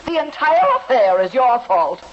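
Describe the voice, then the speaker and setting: A frantic colonial wife, scolding and accusing in overwrought 1950s radio style. Her voice is high, and the old recording is dull and muffled. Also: very animated, normal speed. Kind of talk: 1950s radio comedy acting.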